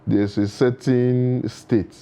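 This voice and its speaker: A man's voice: mid-range voice, level tone, takes it slowly, dark tone, smooth. A man speaking as a guest in a studio interview, giving earnest advice full of proverbs, with frequent restarts. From Nigeria.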